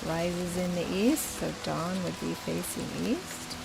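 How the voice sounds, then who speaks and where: An older woman with a scratchy voice, slowly thinking aloud as she plays a video game. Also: on the low side, creaky. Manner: thinking aloud, slow and musing. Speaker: gaming channel host. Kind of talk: casual gameplay commentary. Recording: steady rain noise behind. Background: rain.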